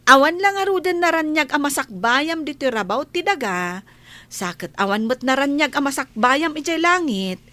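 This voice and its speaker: A woman's voice: sits high, animated, moderate pace, bright, forceful tone. A woman playing a pious, caring village neighbour in a radio drama, speaking earnestly and moralizing with an Ilocano accent. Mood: reproachful, preachy. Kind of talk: Filipino comedy radio drama acting.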